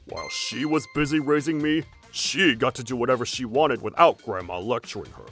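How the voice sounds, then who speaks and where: A man's voice: mid-range voice, fairly quick, a bit bright, slightly rough. Man voicing a grumbling young husband over music. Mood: resentful. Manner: blunt, grumbling. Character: young husband resentful of his nagging mother. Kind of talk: manga dub dialogue.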